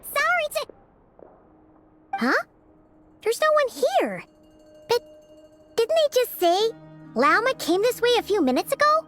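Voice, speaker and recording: A woman voicing a small, childlike fairy companion in a video game, squeaky, excitable and chatty, full of exclamations. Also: unhurried, long pauses, over music, full character voice. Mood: puzzled. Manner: exclamatory, speaks in third person. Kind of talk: animated video game voice acting.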